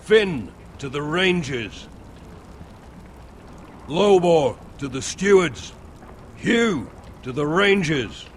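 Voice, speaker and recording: An actor playing a solemn, commanding officer who formally addresses recruits in a fantasy video game. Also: male voice, a little high, very animated, a little hiss or hum, full character voice. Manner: formal, measured, declamatory.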